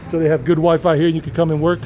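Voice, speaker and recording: A man's voice: a little high, level tone, fast talker, a little mellow. A middle-aged man, chatting casually as he walks a city street and narrates a tour. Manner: chatty and rambling, switching into Spanish with locals. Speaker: walking tour vlogger. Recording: outdoor street noise. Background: traffic and street noise.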